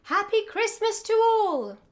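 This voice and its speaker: A woman's voice: very high-pitched, big pitch swings, slow pace, voice pushed up, exaggerated voice. A woman voicing jolly old Saint Nick in a storybook poem, calling out rousingly. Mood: merry. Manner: exclaiming, rousing calls. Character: jolly old Saint Nick, loudly rallying his reindeer.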